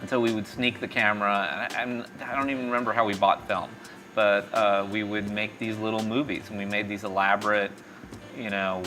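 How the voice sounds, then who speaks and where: A man's voice: fairly low pitch, level tone, a little fast, pushed and bright, faint music behind. A middle-aged man from East Texas telling stories reflectively in a conversational interview. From East Texas, born in Mexico. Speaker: tech company founder, interview guest.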